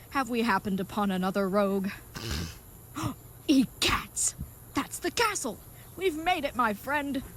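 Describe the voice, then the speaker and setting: Over clearly noisy ambience comes an earnest, simple-minded knight of an audio comedy , played by a woman. The voice sits at a middling pitch and delivers grand, florid, old-fashioned proclamations with kind-hearted chivalry. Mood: excited, triumphant. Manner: grandiose, florid, mock-archaic phrasing. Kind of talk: comedic audio drama acting.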